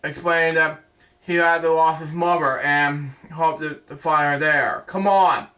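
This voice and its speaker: A man hosts a storybook read-aloud in a bright, forceful voice pitched a little high. He stumbles over words and restarts often. His delivery rambles between reading and casual chat. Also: flat delivery, unhurried.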